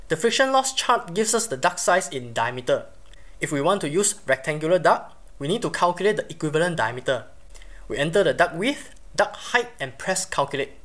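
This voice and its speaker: A host giving a scripted, step-by-step technical lesson as a voice-over over drawings and charts, explaining in a steady, methodical way. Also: medium pitch. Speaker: HVAC tutorial host. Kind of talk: scripted instructional narration.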